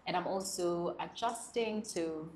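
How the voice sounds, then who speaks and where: A young woman from South Sudan, talking as an interview guest in an earnest, expressive way. Her voice sits low for a woman. The sound carries a clear echo from the room. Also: unhurried, slight background noise. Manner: earnest, expressive, laughs often, animated when speaking with pride. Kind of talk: reflective interview conversation.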